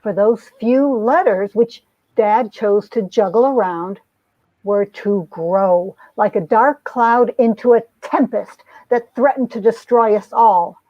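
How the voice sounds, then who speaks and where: A woman's voice: a little high, slow, dry recording. A woman playing an earnest young heroine telling her troubled story in a theatrical, emotive romance-comic reading. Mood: wistful, ominous. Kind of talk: dramatic comic-book reading, melodramatic first-person narration. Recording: dull, lo-fi recording.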